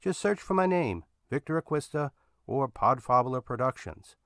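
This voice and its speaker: A man hosting a podcast, reading a scripted outro in a measured, announcing manner. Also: mid-range voice, big pitch swings, fairly bright tone, no room sound, clean studio sound. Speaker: podcast host.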